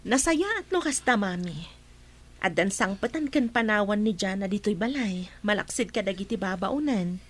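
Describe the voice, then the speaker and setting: A woman's voice: medium pitch, lively intonation, normal speed, husky. A woman acting as a caring mother and wife in a radio play, polite and grateful. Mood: respectful.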